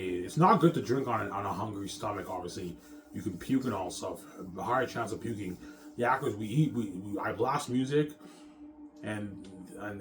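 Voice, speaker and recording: A man in a casual, rambling monologue, boastful and repetitive as he circles back over his phrases. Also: fairly low pitch. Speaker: self-styled film maker talking about his movie projects.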